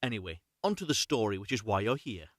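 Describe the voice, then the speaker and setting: Man with lively, swooping pitch, rambling casually. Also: fast, no echo, clean studio sound. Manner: rambling, self-correcting, apologetic about slips. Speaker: host of a live story reading. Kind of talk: casual live chat and unrehearsed storybook reading.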